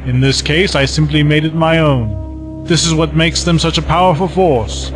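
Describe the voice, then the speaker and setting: A man's voice: on the high side, normal speed, natural voice. An actor playing a cold, commanding male villain in an audio drama, smug and menacing. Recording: music bed behind voices.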